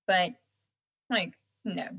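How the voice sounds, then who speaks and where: A young adult woman with a Southern American accent, telling stories as a guest in a casual interview, animated and laughing often. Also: lowish voice, very slow, gravelly, husky, dull audio. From Southern United States. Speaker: guest singer-songwriter.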